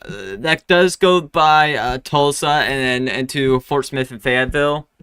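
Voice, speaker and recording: Bright, clear-voiced man hosting a weather stream. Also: medium pitch, no echo, studio quality. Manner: halting, many fillers and restarts. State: recovering from losing his voice. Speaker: weather livestream host. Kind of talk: casual live forecast discussion.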